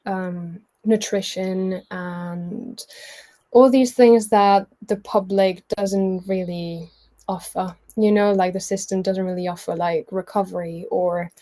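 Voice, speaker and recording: A woman's voice: medium pitch, fairly even intonation, slow pace, mellow. A woman in her early twenties from Spain, with a Spanish accent, telling her own life story informally as a guest, chatty and self-effacing. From Mallorca, Spain. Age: early 20s. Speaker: guest sharing a personal testimony.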